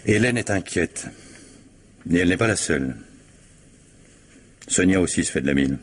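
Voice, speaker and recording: A middle-aged police detective in a French radio thriller. His voice sits very low and he speaks with measured, ironic authority. There is some noise on the vintage-style recording. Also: lively intonation. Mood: steady, pressing. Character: calm, firm male police detective, a worried woman's ex-husband. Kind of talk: French mystery radio drama acting.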